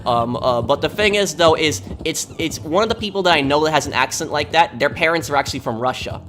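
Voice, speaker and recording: A man's voice: on the high side, fast talker, fairly bright tone, slight background noise. Rambling male game streamer from New York. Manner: rambling and talkative, with many restarts. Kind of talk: casual live-stream chat, answering viewers.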